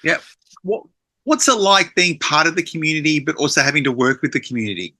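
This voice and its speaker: A man's voice: a little high, animated, fast, pushed and bright. A man hosting a podcast interview, upbeat and friendly as he asks questions. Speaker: podcast host.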